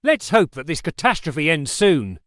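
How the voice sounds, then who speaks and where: A man acting as an earnest local TV newsreader. His voice sits a little high and sounds dry and close, presenting scripted news in a conversational anchor style. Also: sing-song, normal speed, a bit bright, dry recording. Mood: earnest, concerned.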